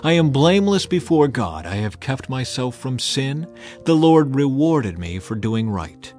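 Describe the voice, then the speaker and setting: A man's voice: mid-range voice, sing-song, a little mellow. Solemn man narrating scripture over music. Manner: solemn, measured, emphatic delivery. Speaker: audio Bible narrator. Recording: music bed under narration. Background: background music.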